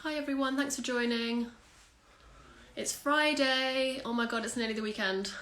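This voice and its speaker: A woman's voice: a little high, steady tone, moderate pace, fairly bright tone. A woman hosting an Instagram live from home, chatting in an upbeat, casual way on a phone or laptop. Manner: chatty and upbeat, full of everyday British phrases. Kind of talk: casual video chat. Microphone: phone or laptop for a livestream.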